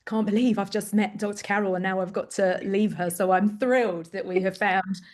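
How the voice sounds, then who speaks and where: A woman's voice: medium pitch, a little fast. A middle-aged woman with an English accent, from Greece, speaking candidly and with animation as a parent coach guest in an interview. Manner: candid, animated, self-deprecating storytelling. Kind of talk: conversational interview.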